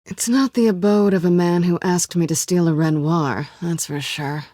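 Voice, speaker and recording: An American woman playing a sly, guarded cat-burglar in a video game, teasing and coolly wry, with a husky, breathy voice. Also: lowish voice, fairly even intonation, normal speed, warm tone, dry recording, studio quality, natural voice. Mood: cool, watchful.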